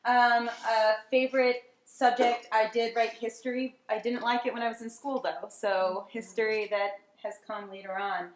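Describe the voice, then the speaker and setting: A woman's voice: fairly high pitch, fairly even intonation, normal speed, few pauses. New York woman, casual sharing, hesitant, laughing, roomy echo. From Staten Island, New York. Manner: laughing, hesitant, many ums. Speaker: workshop participant. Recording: echoey room.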